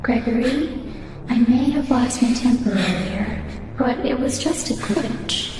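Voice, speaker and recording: Woman as a high, sugary, sinister animatronic lady. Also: measured pace, background noise, natural voice. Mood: coaxing. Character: falsely sweet female animatronic coaxing a child, unstable. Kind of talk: video game character dialogue. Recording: very echoey, distant, music behind.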